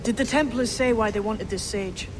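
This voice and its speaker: A young male pirate assassin, voiced by a woman, with a high voice for a man. He is blunt and secretive, speaking in terse, dry seafaring talk in a video game scene. Faint ambient noise sits behind him. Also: normal speed, natural voice. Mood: terse, guarded. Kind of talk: video game cutscene acting.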